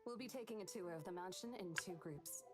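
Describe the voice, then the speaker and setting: A woman's voice: fairly low pitch, fairly quick, over music, lightly acted. A woman voicing a businesslike, bossy female real estate agent in a visual novel game, speaking formally and with command. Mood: polite, brisk.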